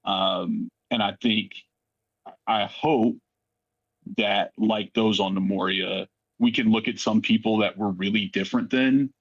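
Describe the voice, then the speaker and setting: Gravelly low-voiced man, thoughtful and halting. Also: a bit bright, no echo, muffled recording. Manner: thoughtful, halting, searching for words. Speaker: voice actor in a fiction podcast. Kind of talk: unscripted, reflective cast discussion.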